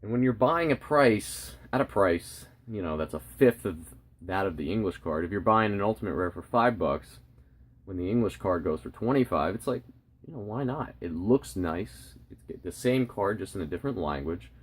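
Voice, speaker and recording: A man with a fairly low voice speaks as the host of a collecting channel. He gives his opinions casually and straight to the viewer. He leans on key words and repeats phrases to press them home. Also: animated, normal speed, breathy edge.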